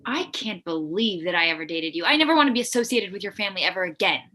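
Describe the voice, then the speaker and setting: A woman's voice: mid-range voice, animated, fast talker, bright, forceful tone. A woman playing an angry, blunt teenage ex-girlfriend in a comedy table read, accusing, with casual teen phrasing. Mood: scornful.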